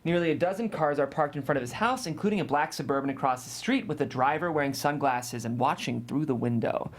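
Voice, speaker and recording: A man's voice: fairly high pitch, fast. A man serves as narrator at a script table read. He reads scene descriptions and stage directions as scripted narration.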